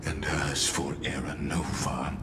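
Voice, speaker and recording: Slow, deep-voiced menacing male villain. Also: little pitch movement, very noisy. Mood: cold defiance. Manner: slow, formal, theatrical threats. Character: cold menacing male villain, grandiose and condescending. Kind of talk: scripted video game acting.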